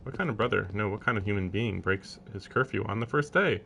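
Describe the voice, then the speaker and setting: A man's voice: on the low side, fairly quick, gravelly, noisy background. A man live streaming a visual novel, reading its text aloud and breaking off for casual, wry, incredulous commentary. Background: game music with strings.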